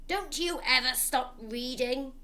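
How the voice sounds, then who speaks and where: A woman's voice: high voice, slow, sharp tone, pitched above the performer's own voice, exaggerated voice. A woman voicing an irate, bullying middle-aged father in a storybook, barking with contempt. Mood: snapping.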